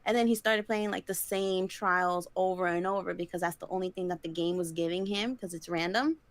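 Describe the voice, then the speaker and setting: A woman's voice: lowish voice, fairly quick, no echo. Chatty young woman, rambling with lots of fillers. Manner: chatty and rambling, lots of likes and ums, giggly. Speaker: podcast co-host. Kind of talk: casual chat.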